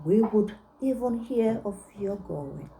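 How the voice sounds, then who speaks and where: A woman voicing a cheerful, excitable fat little male rabbit from a storybook, with drawn-out exclamations. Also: mid-range voice, slight background noise, rough recording. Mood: welcoming.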